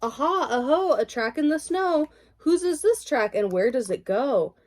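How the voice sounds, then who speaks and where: A woman's voice: high-pitched, expressive, a little slow, darkish tone, voice pushed up. A woman voicing a curious, brave little monster girl who asks eager questions.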